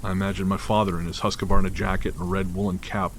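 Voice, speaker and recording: A man narrating a scripted first-person horror story in a measured, literary storytelling manner. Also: low voice, flat delivery, slight background noise.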